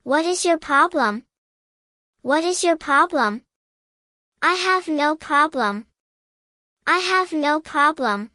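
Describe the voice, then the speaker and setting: A woman narrating a scripted beginner language drill in careful, measured, simple phrases, repeating each sentence. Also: high voice, lively intonation, sharp tone, no room sound, studio quality. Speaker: English teacher narrator.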